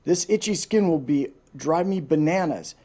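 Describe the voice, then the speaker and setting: A man's voice: on the high side, lively intonation, moderate pace, mellow, husky. Man as a fussy, whining green snake. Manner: chiding, whining.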